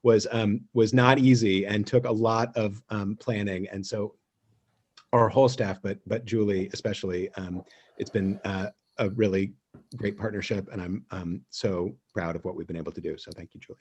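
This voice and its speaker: A man hosting a live theater company show on a video call, speaking appreciatively in a halting way and making announcements. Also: fairly low pitch, steady tone, darkish tone, a little hiss or hum.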